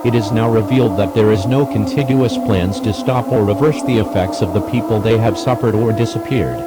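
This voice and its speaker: A man reading a scripted emergency broadcast announcement in a formal, solemn manner. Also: on the low side.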